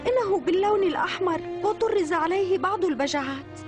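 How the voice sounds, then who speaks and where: A woman's voice: extremely high pitch, expressive, fast. A woman playing a sweet, earnest young orphan girl in a cartoon dub, speaking politely, with music under her voice. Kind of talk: dubbed cartoon acting.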